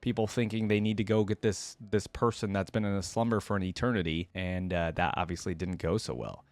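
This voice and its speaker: A man's voice: deep voice, brisk, darkish tone, no room sound. A man hosting a reaction video, giving casual, chatty, enthusiastic fan commentary in informal language.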